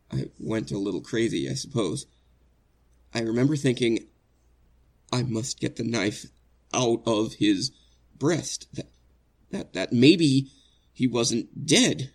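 An actor playing an anguished innocent man facing execution in a 1940s-style radio drama, earnest and halting, stammering with emotion. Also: mid-range voice, lively intonation, slightly rough, heavily acted. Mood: shaken, halting.

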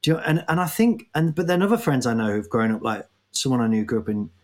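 A man's voice: mid-range voice, very animated, fast. A tired man from Nottingham with a Nottingham accent, hosting a casual comedy podcast chat and rambling in a self-mocking way. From Nottingham, England. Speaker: podcast host.

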